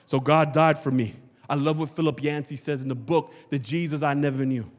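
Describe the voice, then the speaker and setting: A man's voice: on the high side, steady tone, fairly quick. A male pastor preaching a church sermon, emphatic and rhetorical, repeating phrases for effect. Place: large church hall, before a congregation. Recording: long hall reverb, church sanctuary PA.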